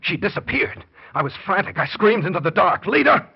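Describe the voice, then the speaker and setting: Animated scheming radio-drama husband. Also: male voice, sits high, brisk, vocal fry, breathy edge, heavily acted. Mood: tense, frantic. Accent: American. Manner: intense, theatrical confessional narration. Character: scheming, nervy murderous husband confessing his plot. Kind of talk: classic American radio drama acting. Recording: muffled, noisy old radio recording.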